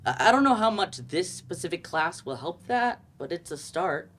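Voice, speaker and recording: Earnest awkward young student, lively pitch woman acting. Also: moderate pace, a little hiss or hum. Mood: earnest, resolute. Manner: direct, literal. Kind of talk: staged play reading, monologue.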